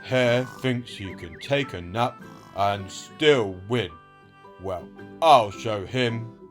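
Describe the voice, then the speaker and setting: A man voicing a steady, determined male tortoise in a children's storybook fable, speaking in a deliberate, drawn-out way. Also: mid-range voice, soft background music. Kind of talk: storybook read-aloud character voice.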